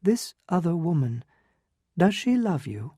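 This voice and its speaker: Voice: mid-range voice, very animated, measured pace, warm tone, breathy edge, dry recording, clean studio sound, pitched above the performer's own voice. A narrator voicing a poised young countess who is guarded and proud, speaking in a lighter, clipped, composed way. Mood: quiet.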